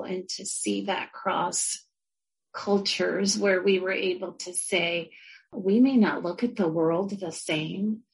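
A woman's voice: medium pitch, gravelly, slightly rough, rough recording. A woman social worker and university educator with family from Argentina, answering interview questions earnestly and reflectively. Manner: earnest, reflective, with frequent fillers and restarts.

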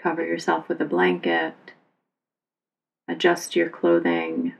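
A woman yoga instructor leading a guided relaxation meditation in a calm, soothing, unhurried manner. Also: on the low side, vocal fry, low-quality recording.

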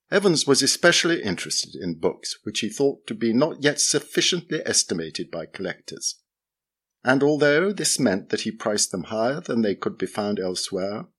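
A man giving a measured, expressive reading of a literary memoir essay. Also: lowish voice.

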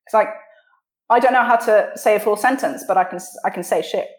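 A woman from Switzerland with a posh British accent, chatting casually as a podcast guest and often pausing to think mid-sentence. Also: medium pitch. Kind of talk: casual interview chat. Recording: roomy echo on the voice.

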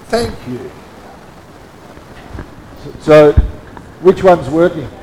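A man preaching a sermon in a church with a loud voice, in a casual, joking manner with frequent restarts. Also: on the high side, lively intonation, extremely slow, a little hiss or hum, low-quality recording. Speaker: pastor. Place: church hall.

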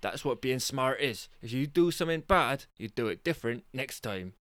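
A man's voice: mid-range voice, animated, normal speed, fairly bright tone, no echo, close to an everyday voice. A man playing an earnest, deluded would-be superhero boyfriend who makes solemn, self-important declarations. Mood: solemn, righteous.

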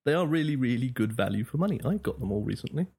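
A man's voice: mid-range voice, lively intonation, quick pace, darkish tone, husky. A man born in Bristol, England, hosting a casual podcast chat and joking at his own expense while plugging things. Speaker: podcast host.

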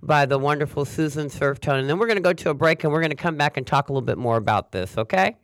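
A man's voice: on the high side, expressive, fast, no echo, clean studio sound. A man hosting a radio interview, upbeat and chatty, often stumbling and restarting mid-sentence. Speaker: radio host.

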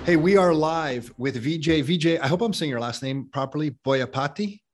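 A man's voice: a little high, moderate pace, over music. A man hosting a podcast, enthusiastic and a bit rambling, with restarts as he talks. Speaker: podcast host. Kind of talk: casual interview and ad read.

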